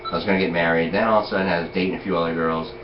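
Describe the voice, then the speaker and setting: Rambling deep-voiced man in his forties. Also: fairly even intonation, very fast. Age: 40s. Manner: rambling, full of "you know" and "like". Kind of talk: casual chat. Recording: echoey lo-fi room with noise.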